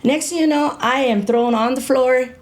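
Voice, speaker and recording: A woman in her fifties telling a gritty personal story in a casual interview, animated, repeating herself and swearing freely. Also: high voice, normal speed. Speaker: interview guest.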